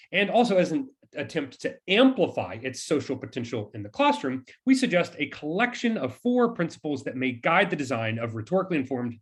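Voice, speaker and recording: A man's voice: medium pitch, fairly bright tone. A man giving a scripted academic presentation as a researcher, explaining points in formal scholarly phrasing.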